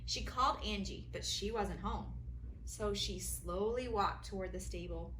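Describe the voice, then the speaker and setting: A woman teacher reading a children's story aloud with expressive, deliberate storytelling. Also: mid-range voice. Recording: roomy echo, faint background noise.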